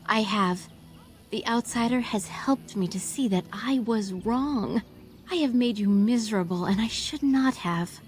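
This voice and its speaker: A woman's voice: a little high, unhurried, slight background noise, lightly acted. A woman playing an earnest, lovelorn young elf in a fantasy video game, speaking in formal phrases. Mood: tender, sure.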